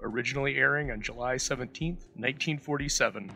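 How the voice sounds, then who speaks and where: A man's voice: medium pitch, fast talker, creaky, sharp tone, faint music behind. A man hosting an old-time radio program, reading a scripted introduction.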